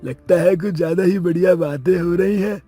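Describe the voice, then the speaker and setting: A man's voice: sits high, moderate pace, warm tone, slightly rough, soft background music. An actor playing a jovial, hearty older father-in-law from the village in a family drama story. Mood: amused. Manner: hearty, amused.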